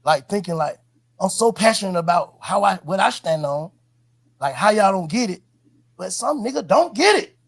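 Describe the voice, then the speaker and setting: A man's voice: high voice, sing-song, moderate pace, slightly rough. A man giving heartfelt, slangy advice as an interviewer, emphatic and repeating his points. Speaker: podcast host interviewing a guest. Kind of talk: heartfelt advice in casual street talk.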